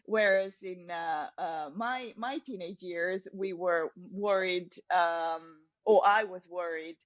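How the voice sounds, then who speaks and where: A woman with a Romanian accent speaks as a guest in an interview, her voice sitting a little low. She feels her way through sentences with frequent pauses and hesitations. The recording is close and dry but slightly dull and lo-fi. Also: dry recording. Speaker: podcast guest, personal branding specialist. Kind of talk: interview answer, recounting personal story.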